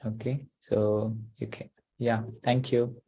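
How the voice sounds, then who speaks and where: A man's voice: on the low side, flat delivery, takes it slowly, gravelly, husky, muffled recording. A man hosting an online class over a video call. He talks hesitantly, with many uh and you know.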